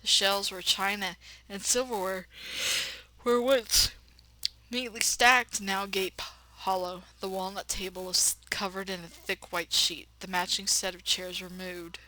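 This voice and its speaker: Bright-voiced woman reading a horror game. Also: mid-range voice, a little slow, dry recording, low-quality recording. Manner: expressive narration broken by blunt sassy asides. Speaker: let's player. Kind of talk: reading a visual novel aloud with playful running commentary.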